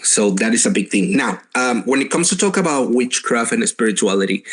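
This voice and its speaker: A man's voice: medium pitch, fairly bright tone. A man in his late twenties from Venezuela, speaking English with a Spanish accent as a guest in a casual conversation, thoughtful and searching for words. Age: late 20s.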